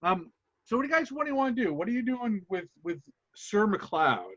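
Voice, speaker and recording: A man with a high, very lively voice runs a tabletop game as its game master, narrating with quick asides and frequent laughter. His voice is dry and close, with slightly dull call audio. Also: no echo.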